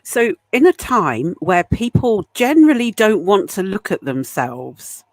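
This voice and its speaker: A woman host with a lively, swooping voice who gushes over what her guest says. The sound is dry and close, with no room echo. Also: medium pitch, unhurried. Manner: effusive, gushing reactions. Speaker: podcast host. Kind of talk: warm interview chat.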